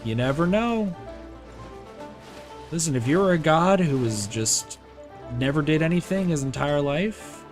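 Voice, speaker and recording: A man's voice: a little high, animated, measured pace, pauses often. A man streaming a video game, commenting casually and jokingly as he reacts aloud. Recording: game music behind voice. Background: game music.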